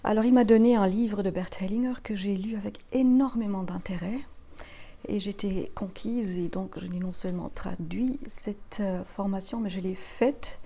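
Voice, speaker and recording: A woman in her fifties from Belgium, a native German speaker, answering interview questions as a practitioner in a measured, explanatory way. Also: mid-range voice, warm tone. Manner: measured, explanatory, listing her path step by step. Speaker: guest, family constellation practitioner.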